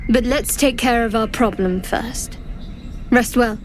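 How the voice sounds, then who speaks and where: A guarded young adventurer woman from a fantasy game, played by an actress, has a slightly high voice. She talks in a dry, casual, understated way with wry reserve. Faint noise runs underneath. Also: unhurried, close to an everyday voice. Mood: wry, softening.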